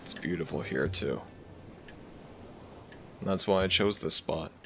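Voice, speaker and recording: A man playing a gentle young dragon prince, a devoted boyfriend talking tenderly to the listener in a romantic audio roleplay. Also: extremely low pitch, measured pace, background noise. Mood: tender, relaxed. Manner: tender, affectionate, soft-spoken reassurance. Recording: music behind the voice. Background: background music.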